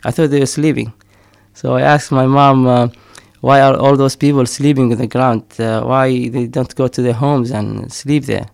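Man, middle-aged, gravelly, dry close sound, hesitant with fillers. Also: mid-range voice, fairly even intonation, normal speed, hardly any pauses, a little mellow, dry recording. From Somaliland. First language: Somali. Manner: hesitant, many fillers, frequent laughs. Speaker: poet and storyteller. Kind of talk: casual interview talk.

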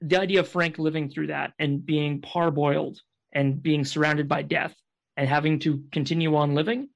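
Creaky, higher-voiced man. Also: level tone, moderate pace, dull audio. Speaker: podcast guest. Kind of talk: thoughtful panel discussion.

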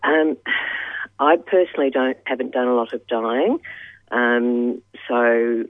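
A woman calling in to a radio show, talking in halting phrases with many "um"s. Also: a bass voice, no room sound. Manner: halting, frequent "um"s. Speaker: caller. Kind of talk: phone-in radio chat. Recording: tinny phone line.

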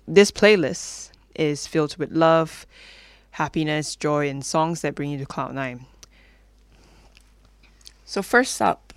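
A young woman hosts a community radio music show in a casual presenting style. She is from Malaysia, and her voice is clean and smooth. The sound is very dry, with hardly any room around it. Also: low-pitched, no echo. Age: young adult.

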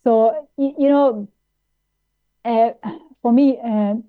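Halting woman guest on a tinny line. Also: on the high side, fairly even intonation, clean tone. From Iran, raised in Austria. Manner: halting, many fillers and restarts. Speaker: guest, plant-based nutritionist. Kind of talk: interview conversation.

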